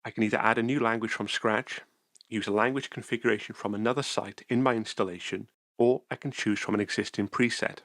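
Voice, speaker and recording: A man calmly narrating a software tutorial screencast, explaining each step methodically. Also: on the low side, fairly quick, no echo.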